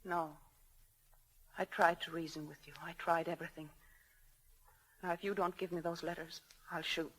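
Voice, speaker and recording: An actress playing an anguished young wife torn between two loves, pleading and hesitant, in a 1940s radio drama. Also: female voice, on the low side, little pitch movement, normal speed, pauses often, fairly bright tone, low-quality recording. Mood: cold desperation. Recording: old 1940s radio broadcast.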